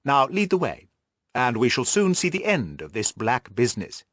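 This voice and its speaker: A man voicing a shrewd, brisk detective who explains his deductions emphatically and gives orders in an audiobook. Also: medium pitch, huge pitch swings, a bit bright, no room sound, clean studio sound. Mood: brisk, commanding. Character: shrewd male detective, brisk, commanding, analytical. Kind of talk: audiobook character dialogue.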